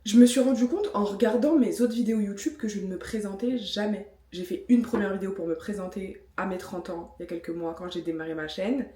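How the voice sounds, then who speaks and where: A woman's voice: mid-range voice, fairly quick, hardly any pauses, mellow. Chatty French woman in an echoey room. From France. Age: 30s. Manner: chatty, self-mocking, many fillers. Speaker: vlogger. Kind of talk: casual vlog talk with product review.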